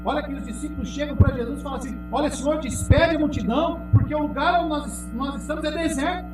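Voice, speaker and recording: Brazilian male pastor, emphatic sermon delivery, distant mic in a very echoey hall, music underneath. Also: quick pace. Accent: Brazilian Portuguese. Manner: emphatic preaching, calls out to the congregation, drops low and loud on key words. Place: church hall. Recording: echoey, from far off, music behind.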